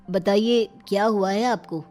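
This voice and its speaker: A woman's voice: mid-range voice, a little mellow, pitched below the performer's own voice, close to an everyday voice. A woman voicing a practical, matter-of-fact family doctor in a cartoon moral story. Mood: businesslike. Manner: brisk, practical. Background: faint music.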